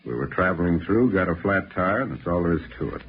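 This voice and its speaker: An actor playing a tough, sardonic American detective, blunt and defiant, in 1940s radio drama style. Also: male voice, deep voice, expressive, fast talker, slightly rough, exaggerated voice. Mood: defiant, wry. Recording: dull, noisy old radio recording.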